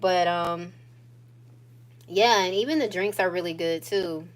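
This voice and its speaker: Relaxed chatty woman in her thirties. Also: fairly low pitch. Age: 30s. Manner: relaxed and chatty, drawn-out phrases and frequent laughs. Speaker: vlogger. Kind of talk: casual catch-up chat to viewers.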